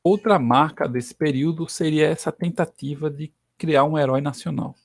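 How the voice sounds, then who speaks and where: A man's voice: on the high side, normal speed, gravelly, no echo, clean studio sound. A Brazilian man giving a recorded history lesson in an easy, conversational way, often checking in with his listeners as he explains. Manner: conversational, frequent "né" tag questions. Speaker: history teacher.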